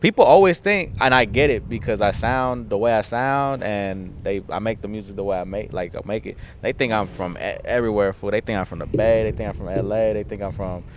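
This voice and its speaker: A man's voice: on the low side, a little fast, hardly any pauses, a little hiss or hum. A young man from the San Fernando Valley, a guest musician in a casual interview, talking in a laid-back, slangy way full of "like" and "dog". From San Fernando Valley, California. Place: outdoors in front of a theater.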